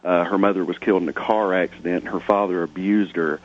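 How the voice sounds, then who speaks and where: A man calling a radio show, telling his story briskly and directly. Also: low voice, fairly even intonation. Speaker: caller. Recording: dull phone line.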